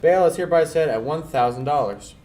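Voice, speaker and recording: A man's voice: on the high side, fairly quick, lightly acted. An actor playing a stern male judge, formal and official, in a radio drama, recorded off the mic. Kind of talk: 1940s radio play acting. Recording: distant mic with faint noise.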